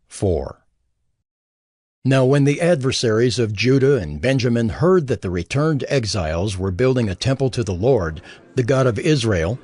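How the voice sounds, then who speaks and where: A man narrating scripture in a solemn, expressive reading. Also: mid-range voice, husky.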